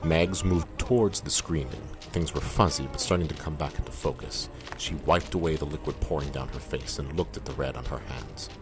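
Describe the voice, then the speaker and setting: An actor as a grave, measured male storyteller, narrating a scripted audio drama. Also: a bass voice, over music. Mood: grave, descriptive.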